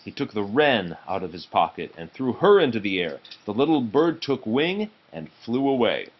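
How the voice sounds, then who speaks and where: A man reading a children's storybook aloud in an expressive way, pausing to speak to the children with him. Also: fairly low pitch, highs cut off. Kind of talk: read-aloud of a children's storybook, broken by asides to kids.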